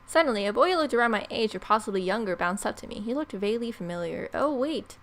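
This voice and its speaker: A woman's voice: mid-range voice, fast, a bit bright. A young adult woman reading a visual novel aloud as a let's play host, with playful, wry asides.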